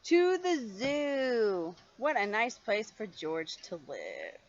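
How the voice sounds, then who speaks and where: A woman's voice: fairly high pitch, very animated, slow pace, lo-fi sound, a little hiss or hum. An older woman, a grandmother reading a children's story expressively, with chatty questions to her young listener.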